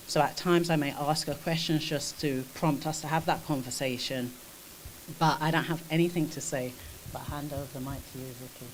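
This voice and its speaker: A woman's voice: low voice, a little hiss or hum, poor audio. A middle-aged woman, a treatment centre director introducing a client, speaking with open emotion. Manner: warm, emotional, effusive. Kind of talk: introductory remarks. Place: conference room at a press event. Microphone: handheld mic.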